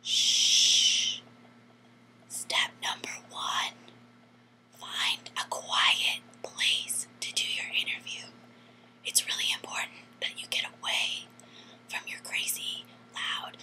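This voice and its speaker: A young adult woman whispering vlog advice in a hushed, playful way, phrase by phrase. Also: slow, long pauses, low-quality recording.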